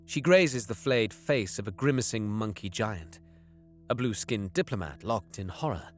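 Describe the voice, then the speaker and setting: An actor playing a bitter young alien prince, narrating an audio drama deliberately and sometimes dropping into hissed whispers. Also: male voice, fairly low pitch, lively intonation, soft background music, lightly acted. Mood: brooding.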